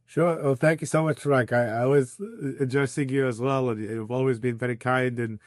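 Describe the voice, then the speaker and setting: A man in his thirties, originally from Iraq, talking as a podcast guest over a video call. His voice sits at an ordinary middle pitch and sounds dry and close. He speaks earnestly and thoughtfully, often pausing with fillers and restarting his sentences. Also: steady tone, no room sound. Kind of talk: conversational interview answers. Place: remote call.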